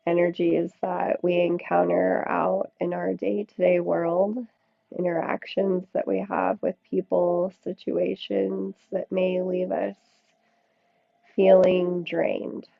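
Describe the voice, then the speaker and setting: A woman leading a yoga class with slow, soothing guided instruction, speaking in short phrases with long pauses. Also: fairly low pitch, flat delivery, gravelly, dry recording. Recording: thin, phone-like band-limited sound, dry.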